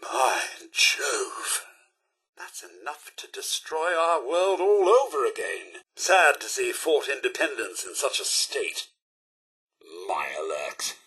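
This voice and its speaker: An actor playing a fussy, loyal, polite robot butler in a video game, with a British accent and a prim, formal, theatrical manner. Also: male voice, mid-range voice, sing-song, takes it slowly, pushed and bright, slightly rough, full character voice. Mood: fretful, wry. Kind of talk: video game character voice lines.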